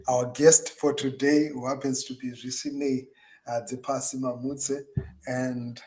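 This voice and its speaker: A man's voice: mid-range voice, rough recording. A man hosting an online interview, asking questions with hesitant restarts and fillers. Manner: hesitant, repeats words, gets louder on welcomes. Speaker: show host.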